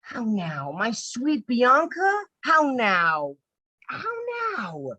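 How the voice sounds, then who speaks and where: Male actor as cajoling lieutenant lover: bright, animated, drawn-out delivery, Shakespearean radio play. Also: sits high, no echo, highs cut off, full character voice. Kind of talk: Shakespearean radio drama acting.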